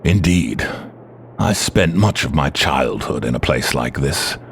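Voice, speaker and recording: A man playing a grave, commanding older leader, speaking in a formal, measured way. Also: very deep voice, expressive, slight background noise, exaggerated voice. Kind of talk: fan audio drama acting.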